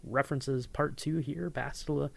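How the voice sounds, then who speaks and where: A man's voice: mid-range voice, fairly even intonation, moderate pace, gravelly, breathy edge. A man co-hosting a podcast in a casual behind-the-scenes chat, rambling with lots of fillers and restarts. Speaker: podcast co-host.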